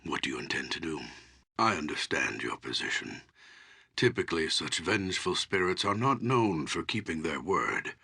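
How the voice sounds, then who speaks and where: Gravelly, solemn old archdruid. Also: male voice, a bass voice, sing-song, pushed and bright, full character voice. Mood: grave, counselling. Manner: measured, formal, theatrical delivery. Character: solemn elderly male archdruid, humble, grave wise counsellor. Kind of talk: fantasy game voice acting.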